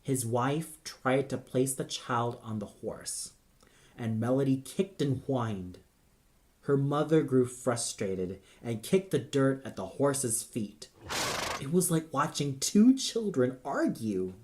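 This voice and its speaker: A man's voice: medium pitch, lively intonation, takes it slowly, darkish tone. A man narrating an audio play in a solemn, theatrical, scripted style.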